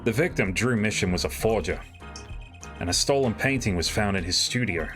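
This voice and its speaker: A man's voice: fairly low pitch, expressive, brisk, a bit bright, faint music behind. A man hosting a casual live game dub stream, chatting in a joking, sweary way.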